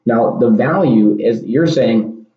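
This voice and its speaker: A man hosting a casual solo podcast, rambling with restarts and punchy asides like "boom" and "bam". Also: takes it slowly. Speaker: podcast host. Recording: dull lo-fi sound in an echoey room.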